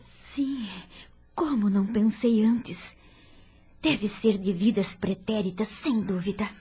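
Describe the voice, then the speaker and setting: A woman playing an ailing, pensive older mother in an audio drama, with a breathy voice. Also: medium pitch, takes it slowly, warm tone, heavily acted. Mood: pensive, troubled.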